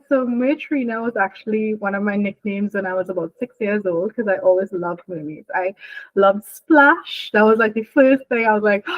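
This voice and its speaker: Young woman from Trinidad and Tobago, lively interview guest, thin phone-line sound. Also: mid-range voice, no echo. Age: young adult. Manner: lively, laughing, explanatory. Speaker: marine biologist guest. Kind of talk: casual interview chat.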